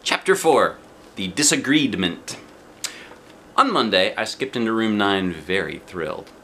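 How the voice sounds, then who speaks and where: Male storyteller, middle-aged: theatrical, very animated, bright delivery for a children's read-aloud. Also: on the low side, measured pace. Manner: theatrical, playful emphasis. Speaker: storyteller reading a children's book. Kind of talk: expressive read-aloud narration. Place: small living room, indoors. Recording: faint background room noise.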